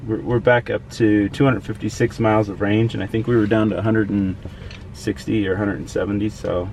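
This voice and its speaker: A man in his twenties, raised mostly in Nebraska, explaining things in a relaxed way on a road-trip vlog from inside a car. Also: lowish voice, brisk, creaky, distant mic, slight background noise. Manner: easygoing, explaining as he goes. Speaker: vlogger and driver. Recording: loud background music.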